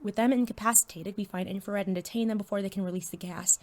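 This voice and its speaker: A young female superhero sidekick acted by a woman tells her story in an audio drama. She speaks at a middling pitch, nervous yet eager. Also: fairly even intonation, fast talker, a little mellow, natural voice. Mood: focused, unsure. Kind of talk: audio drama dialogue and first-person narration.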